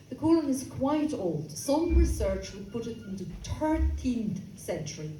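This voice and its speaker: A woman's voice: mid-range voice, mellow, a little hiss or hum. A woman in her fifties from Flanders with a Flemish accent, chatting to a concert audience as she introduces tunes and their history. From Flanders, Belgium. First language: Dutch. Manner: chatty, explains history, addresses the audience directly. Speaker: student and concert presenter. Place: concert hall. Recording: roomy, from a distance, faint ambience.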